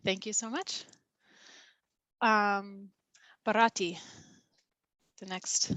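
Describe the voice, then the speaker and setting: A woman's voice: mid-range voice, steady tone, very slow, fairly bright tone, dry recording. A woman hosting a video-call meeting, giving brief, polite cues to the next speaker. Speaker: host running introductions. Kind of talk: meeting facilitation.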